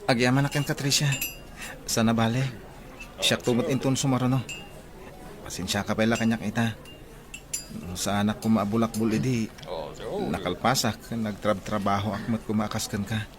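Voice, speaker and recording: A man's voice: fairly low pitch, flat delivery, moderate pace, long pauses, a crowd in the background, natural voice. A man acting as a remorseful, downcast young student in a radio drama, with an Ilocano Filipino accent and an earnest, subdued delivery. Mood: downcast, grateful. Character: remorseful, downcast young male student seeking a fresh start.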